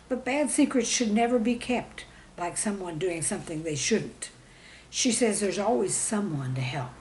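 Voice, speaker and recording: Woman telling children's stories, very lively pitch. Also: slight background noise, rough recording. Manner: expressive storybook delivery with dramatic emphasis. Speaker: storyteller and author reading own stories. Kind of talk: scripted children's story narration.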